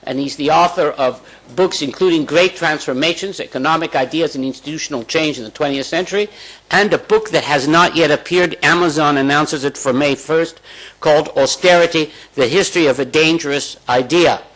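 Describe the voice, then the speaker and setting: Older man chairing a lecture, slightly high. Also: fairly bright tone, rough recording. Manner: measured, reads from notes. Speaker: chair introducing the speaker. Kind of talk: formal introduction. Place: lecture hall at a lectern. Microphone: lectern microphone.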